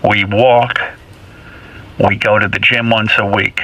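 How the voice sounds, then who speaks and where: A man playing an elderly mother in a radio comedy bit, fussy and nagging. The voice is bright and pressing, with very slow, halting, emphatic lecturing. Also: fairly low pitch, level tone, lo-fi sound. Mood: insistent.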